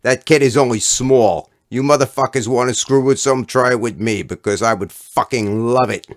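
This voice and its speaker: A man voicing a fat kid bully with a soft heart in a storybook reading, loud, blustering and foul-mouthed. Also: medium pitch, normal speed, no echo, studio quality, pitched above the performer's own voice, lightly acted. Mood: menacing, furious.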